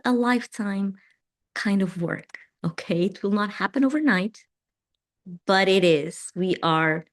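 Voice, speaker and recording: Portuguese-accented woman, non-native English, live spiritual host; gentle, slow, halting delivery. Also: lowish voice. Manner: gentle, unhurried, with many fillers and restarts. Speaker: meditation host and teacher. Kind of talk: live-streamed spiritual talk greeting viewers.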